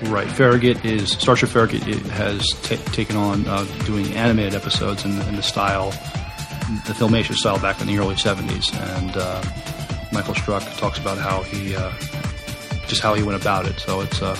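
A man co-hosting a podcast, chatting casually with halting phrasing. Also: lowish voice, little pitch movement, over loud music. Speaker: podcast co-host.

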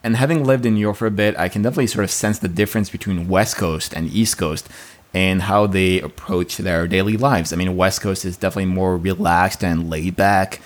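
Brief: young man, low-ish voice, easygoing podcast co-host, quick to laugh. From France. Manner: relaxed, joking, laughs often. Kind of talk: casual podcast chat.

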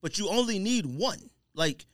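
A man's voice: high-pitched, a little slow, no echo, clean studio sound. A man in his late thirties chatting casually as a podcast host, telling stories in an emphatic, slangy way. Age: late 30s. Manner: animated storytelling, slangy, emphatic.

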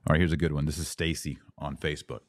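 Male podcast teacher, deep register, very dry recording, didactic and emphatic, answering listeners with weighted key words. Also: flat delivery, fast, no room sound. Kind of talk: live theological teaching and viewer Q&A.

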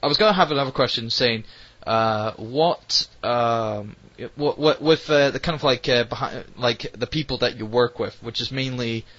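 A British man hosting a casual interview, speaking in a rambling, halting way. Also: mid-range voice, a bit bright, no room sound. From United Kingdom. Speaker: podcast host. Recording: dull lo-fi audio.

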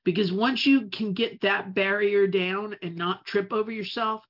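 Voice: medium pitch, steady tone, a little slow. A speaker whose first language is Italian, giving a casual talk on running a web business, stretching out key words for emphasis and often restarting phrases. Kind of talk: casual presentation. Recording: dull lo-fi recording.

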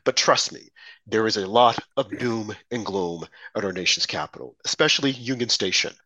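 Hoarse male co-host with a cold. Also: on the low side, moderate pace, no echo, low-quality recording. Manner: rambling, animated storytelling. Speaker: podcast co-host. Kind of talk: political talk show chat.

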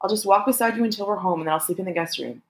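A woman's voice: mid-range voice, racing, echoey room, voice pushed up, natural voice. A woman voicing a desperate, apologetic male lover who pleads urgently, as in an audiobook. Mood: desperate, pleading.

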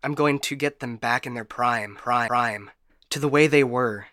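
A man's voice: mid-range voice, normal speed, noisy background, close to an everyday voice. A man playing a broken, paranoid ship AI in a science fiction audio drama, stammering and getting stuck repeating words. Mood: resolute. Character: frightened, broken male ship AI, paranoid and unstable.

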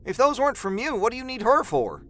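A male narrator voicing a cocky, cruel grown son in a horror audio drama, with a taunting, forceful delivery. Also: extremely high pitch, very animated, fast, voice pushed up, heavily acted. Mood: angry.

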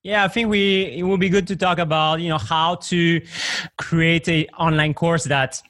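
A man with a Belgian accent answers podcast questions in a relaxed, conversational way, pausing often on fillers. His voice is a bit high for a man and sounds dry, with no room echo. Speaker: guest, software company owner. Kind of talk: casual interview chat.

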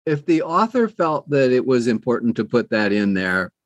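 A man's voice: medium pitch, sing-song, normal speed, dry recording, highs cut off. Older man telling stories, very dry recording. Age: middle-aged or older. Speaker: interview guest, audiobook narrator. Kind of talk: casual conversational storytelling.

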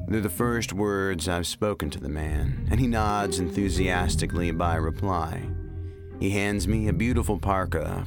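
A man narrates scripted fiction in a low-pitched voice. He reads as a storyteller, with a clear music bed behind the words.

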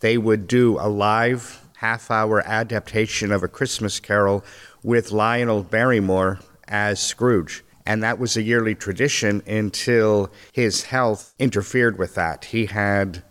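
A man from a radio theater troupe, explaining old radio history in a measured, steady way. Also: on the low side. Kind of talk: casual interview talk. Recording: long reverb.

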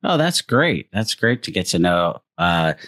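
Very deep-voiced hesitant male host. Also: very animated, a little slow, a bit bright, no room sound, studio quality. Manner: hesitant, many fillers and restarts. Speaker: podcast host. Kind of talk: casual interview chat.

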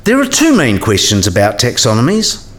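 Male actor as learned professor, medium pitch, patient, didactic, precise, radio play dialogue. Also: huge pitch swings, moderate pace. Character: learned professor patiently explaining to a younger colleague.

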